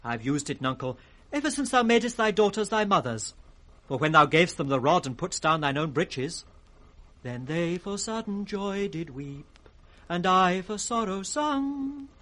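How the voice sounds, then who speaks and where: Lively, high-pitched mocking court fool. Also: male voice, full character voice. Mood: teasing, then singing. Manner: sing-song, riddling, impudent. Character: witty, mocking court fool, teasing the king. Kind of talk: 1960s British radio Shakespeare acting, with sung rhymes. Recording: vintage 1960s radio drama recording.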